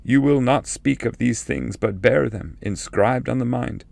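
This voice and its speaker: A man voicing a grave, fatherly ancestral spirit who foretells exile in solemn, measured verse from an epic poem. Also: mid-range voice, creaky, a little mellow, no echo.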